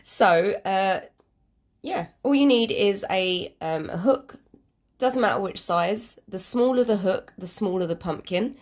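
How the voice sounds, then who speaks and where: A woman's voice: mid-range voice, animated, measured pace. A British woman with a congested, cold-affected voice, giving an informal craft tutorial in a hesitant, rambling, self-deprecating way. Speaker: crafting channel host.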